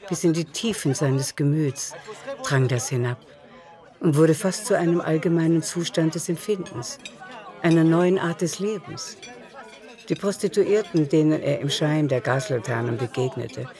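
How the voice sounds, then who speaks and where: A woman's voice: low-pitched, dark tone. A woman narrating a literary radio drama in a scripted, storytelling delivery. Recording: music behind the voice.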